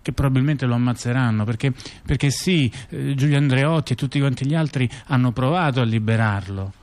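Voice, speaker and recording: A man acting as a chatty intellectual, telling scandals in conversational stage monologue, halting and repeating himself with frequent tag questions. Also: medium pitch, darkish tone, natural voice. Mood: explanatory, ironic. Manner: halting, repetitive, full of rhetorical tag questions. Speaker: stage actor-narrator. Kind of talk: conversational monologue theatre, addressing a listener.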